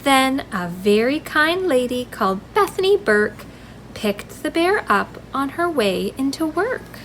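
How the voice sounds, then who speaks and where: A woman's voice: sits high, big pitch swings, unhurried, a bit bright, slight background noise, poor audio. A woman hosting a children's library storytime, reading rhymes aloud expressively and addressing small children in a friendly, sing-song way. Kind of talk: expressive read-aloud of a rhyming picture book for young children.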